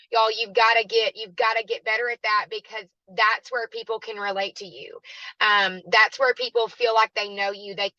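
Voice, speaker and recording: A woman with a raspy, hoarse voice, giving a chatty, encouraging training talk as a guest speaker. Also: a little high, bright, forceful tone, no room sound, dull audio. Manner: chatty and encouraging, addresses listeners as "y'all".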